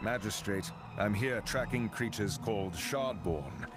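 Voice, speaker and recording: An actor playing a grim, blunt adventurer in a fantasy game, speaking with stern urgency. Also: male voice, lowish voice, measured pace, close to an everyday voice. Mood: urgent warning. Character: grim, blunt male adventurer warning of unrest. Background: game music.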